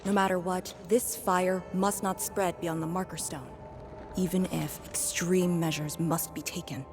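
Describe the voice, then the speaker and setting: A composed, no-nonsense female mission leader from a video game. Her voice is on the low side, terse and serious, over clearly audible music. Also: fairly even intonation, unhurried. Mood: grave. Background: game soundtrack.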